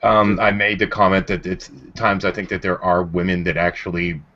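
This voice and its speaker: A man's voice: low voice, level tone, normal speed, bright, forceful tone. A man hosting a casual group chat, talking in a rambling storyteller's way. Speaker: host of a group video call. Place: indoors at home on a group video call. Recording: dull lo-fi internet call audio.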